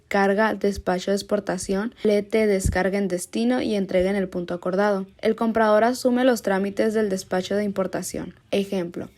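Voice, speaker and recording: A woman's voice: mid-range voice, a little mellow. A woman presenting a scripted explanation in an instructional reading style.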